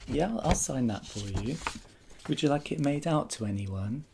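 A man in his thirties doing a soft-spoken ASMR roleplay as a rude, bitter actor, muttering in a world-weary, grumbling way. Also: a little high, lively intonation, moderate pace, vocal fry, a little hiss or hum. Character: rude, bitter male actor signing autographs. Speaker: roleplay performer. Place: small indoor set at a desk.

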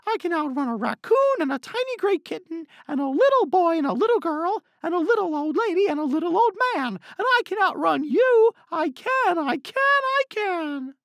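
A man voicing a little gingerbread man from a storybook, boastful and taunting in a sing-song shout. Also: very high-pitched, big pitch swings, normal speed, few pauses, no echo, clean studio sound, pitched above the performer's own voice, exaggerated voice.

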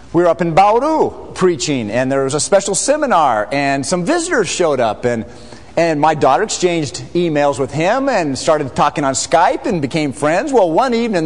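A middle-aged American man, a missionary, telling stories to a church congregation in an animated, joking way. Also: a little high. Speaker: missionary speaker. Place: large church sanctuary. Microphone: far camera. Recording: reverberant sanctuary.

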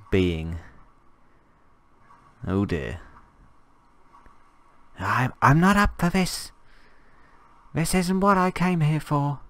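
A man with a croaky voice from a cold, chatting casually and jokingly as he plays and narrates a survival game. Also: low-pitched, fairly bright tone. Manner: rambling, jokey, thinking aloud. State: hoarse from a cold. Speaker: gameplay commentator. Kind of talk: casual gameplay commentary.

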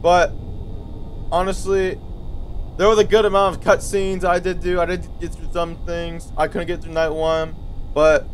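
A man's voice: a little high, little pitch movement, measured pace. A man in his twenties giving casual, exasperated, sweary let's-play commentary, often muttering to himself. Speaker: gaming video host. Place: bedroom, indoors. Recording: noisy, game ambience underneath.

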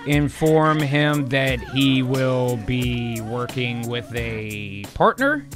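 A man hosting an improv show in casual, profane banter, halting as he composes and reads out loud. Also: mid-range voice. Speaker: host of improv writing show. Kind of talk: casual banter, reading out as he types. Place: indoor home streaming setup.

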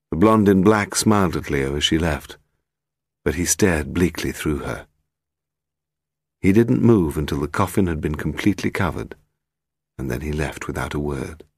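British male narrator, deep and lively in intonation, measured detective storytelling, dry close studio sound. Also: no echo. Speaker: audiobook narrator. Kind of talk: scripted narration of a detective novel.

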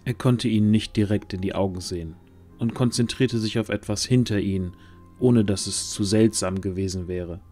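A man's voice: fairly low pitch, normal speed, warm tone. A man narrating a scripted fantasy story as the narrator of an audio drama. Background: background music.

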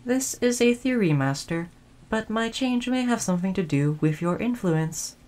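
Woman voicing a female android servant for audio roleplay; courteous, precise wording, caring temper, mid-pitched. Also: lively intonation, a little mellow, close to an everyday voice. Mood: earnest, reflective. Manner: formal, polite, measured phrasing. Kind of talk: audio roleplay addressed to the listener.

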